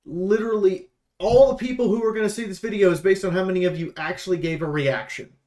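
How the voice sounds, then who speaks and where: A man's voice: high voice, fast, darkish tone. A man giving casual, opinionated game preview commentary in an emphatic, rambling, sweary way. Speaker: YouTube host and game reviewer.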